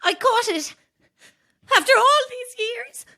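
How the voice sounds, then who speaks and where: An actress playing a frantic, obsessed mother in a horror audio drama, speaking in a halting, distraught, pleading way. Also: extremely high pitch, lively intonation, creaky, bright, forceful tone, full character voice. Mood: frantic warning. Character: frantic, obsessed mother hunting a child-snatching witch.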